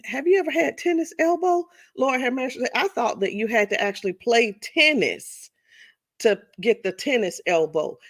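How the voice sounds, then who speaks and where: A woman in her fifties talking casually to her viewers, chatty and repetitive, often restarting her sentences. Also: lowish voice. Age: 50s. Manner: chatty, repeats herself, addresses viewers as y'all. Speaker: vlogger. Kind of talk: casual testimonial monologue.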